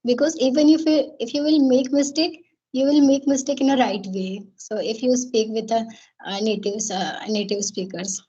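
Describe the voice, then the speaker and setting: Nervous woman English learner, husky voice, halting speech, dull video-call sound. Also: fairly high pitch, steady tone, mellow. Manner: hesitant, many fillers and restarts. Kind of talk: conversation practice.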